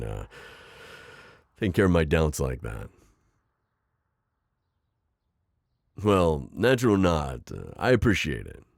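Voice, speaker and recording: A man voicing a gentle, self-deprecating divorced construction-worker dad in an ASMR roleplay, hesitant and stammering with soft jokes. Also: rumbling low, creaky, no room sound, studio quality, heavily acted. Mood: earnest. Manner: hesitant, full of ums and stammers, joking softly. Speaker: voice actor.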